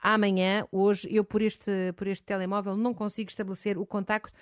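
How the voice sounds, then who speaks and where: A woman's voice: mid-range voice, level tone, a little fast, no echo, clean studio sound. A middle-aged woman with a European Portuguese accent hosting a phone-in show, effusive and encouraging with her caller. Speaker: host.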